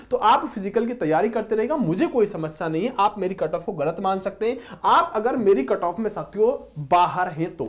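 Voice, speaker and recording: A man speaking as an exam coaching teacher, explaining informally to students and often addressing them as friends. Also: high voice. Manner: repeatedly addresses listeners as friends.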